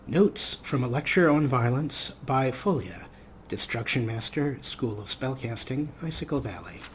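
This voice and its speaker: A man's voice: medium pitch, expressive, unhurried. Chatty man narrating, noisy background. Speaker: let's play host. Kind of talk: casual let's play commentary with some read-out game text.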